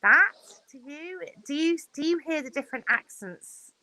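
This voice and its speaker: An English woman with a southern accent chats on a late-night stream. Her voice is bright and high, and her pitch swings up and down a lot. She giggles often and talks in quick bursts. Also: lively intonation. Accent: southern English, near Bristol. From England. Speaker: stream host. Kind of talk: casual chat.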